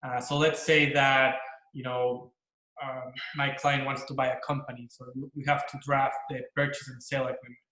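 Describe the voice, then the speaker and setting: A man from Mexico, speaking English with a Mexican accent, walks students through his work as a corporate attorney. His voice sits at a middle pitch, and he talks in an earnest, explanatory way with many fillers and restarts. The room around him rings with noticeable echo. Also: level tone, normal speed, sharp tone. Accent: Mexican Spanish. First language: Spanish. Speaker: corporate attorney, panel guest. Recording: echoey room.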